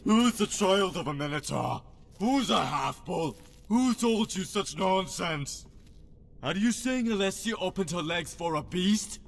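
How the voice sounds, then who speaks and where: Man acting a high-voiced scoffer in cavernous reverb. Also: animated, unhurried, vocal fry, exaggerated voice. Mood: scornful. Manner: scoffing, indignant. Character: scornful man mocking others as fools. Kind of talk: video game dialogue.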